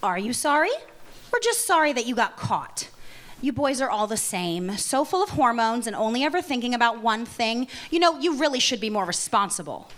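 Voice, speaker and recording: A woman's voice: on the high side, sing-song, moderate pace, fairly bright tone. A woman reading a scolding lady vampire. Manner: lecturing, disdainful. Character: scolding female vampire neighbor, adult. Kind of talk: live table read.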